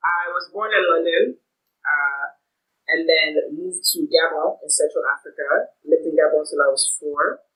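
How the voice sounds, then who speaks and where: A woman's voice: low voice, moderate pace, a bit bright, rough recording. A woman in her late twenties from Ghana, whose first language is French, chatting casually as a podcast guest over the phone, with lots of fillers and laughs. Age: 20s. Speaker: guest musician. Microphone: phone call.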